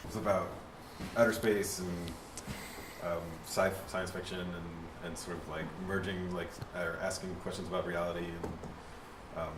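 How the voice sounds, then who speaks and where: A man's voice: low-pitched, flat delivery, unhurried, echoey room, far from the mic, noisy background. A man from Puerto Rico, an artist, talking hesitantly about his work with many fillers. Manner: hesitant, lots of likes.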